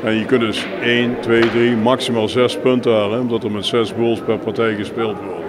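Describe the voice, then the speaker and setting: A man explaining his sport in an informal interview, with halting, filler-strewn delivery. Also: medium pitch, little pitch movement, a crowd in the background. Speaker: jeu de boules club representative being interviewed. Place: busy sports hall, on location. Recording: noisy venue with clear background ambience.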